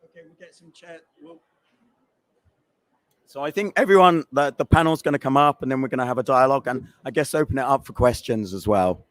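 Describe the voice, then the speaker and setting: A man who is losing his voice and sounds croaky, presenting at a conference and rambling with fillers. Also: medium pitch, brisk, long pauses, dry recording. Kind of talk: conference presentation and panel chat.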